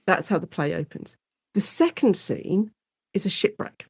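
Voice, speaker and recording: Woman, medium pitch, dry recording, interview guest, informal and animated explainer. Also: normal speed, husky, no room sound. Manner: animated explainer, acts out short quotes. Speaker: guest academic, Shakespeare and radio drama specialist.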